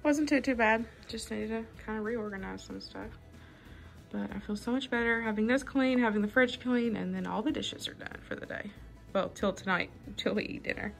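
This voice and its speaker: A woman's voice: on the high side, normal speed, vocal fry, faint music behind. A woman, chatting casually through her home cooking and cleaning routine. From Southern United States. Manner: chatty, homey, relaxed explaining. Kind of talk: casual voice-over narration.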